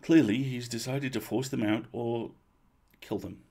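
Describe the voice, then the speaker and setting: Guy doing a tired old noblewoman in a storybook, formal, sad n grave, kinda raspy. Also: medium pitch, fairly even intonation, mellow, pitched above the performer's own voice. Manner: formal, measured phrasing, sighing. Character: weary, regretful old duchess, formal and grave.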